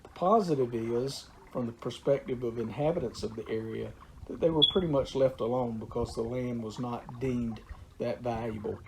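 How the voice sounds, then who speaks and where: Local man explaining nature and history; relaxed, gravelly, stop-start with fillers, faint noise behind. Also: mid-range voice. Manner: unhurried, with frequent "uh" pauses.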